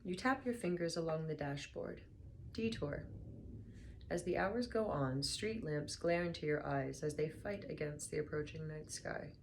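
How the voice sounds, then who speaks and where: A young woman speaking in a very low, gravelly voice that has gone hoarse and worn. She sounds tired and talks in a soft, unhurried way as she chats and reads aloud. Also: slight background noise. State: hoarse, slightly lost voice. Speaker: book reader vlogger. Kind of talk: casual chat then reading aloud.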